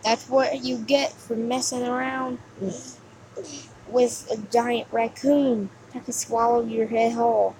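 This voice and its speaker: A woman's voice: on the high side, little pitch movement. A woman voicing a mocking, sneering demon who taunts the player in amateur video game voice lines. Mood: scornful mocking. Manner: taunting, scornful, drawn-out sighing delivery. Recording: noisy amateur home recording.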